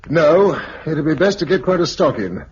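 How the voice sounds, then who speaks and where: Male actor as defiant practical leader in old radio play, lively delivery, muffled 50s recording. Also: a little high, normal speed, a little hiss or hum, natural voice. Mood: practical, firm. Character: defiant, practical sighted captive made reluctant leader. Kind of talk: 1950s British radio drama acting.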